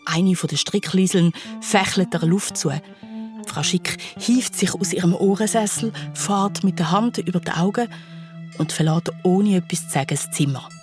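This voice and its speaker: A woman's voice: on the low side, normal speed, music in the background, lightly acted. A woman playing a wry, playful narrator, telling a scripted children's detective story in German. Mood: wry, dramatic.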